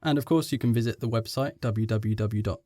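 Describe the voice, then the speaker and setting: A man's voice: on the low side, brisk, dark tone, no room sound, studio quality. A man co-hosting a casual podcast, chiming in briefly and reading out the show's plugs. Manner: short interjections, reads out plugs. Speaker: podcast co-host.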